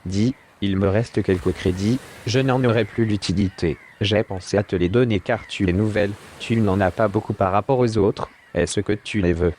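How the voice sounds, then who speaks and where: Man acting weary captive, friendly, easygoing, fairly low pitch, audio drama, faint ambience. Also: normal speed, few pauses, a little mellow, slight background noise, lightly acted. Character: friendly, weary male captive about to go home.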